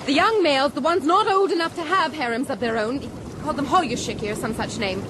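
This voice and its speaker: Actress playing a high-voiced, prim, spirited castaway woman. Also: quick pace, lightly acted. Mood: spirited, reasoning. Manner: formal, precise, indignant phrasing. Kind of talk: period radio drama acting. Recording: clear outdoor sea and boat noise.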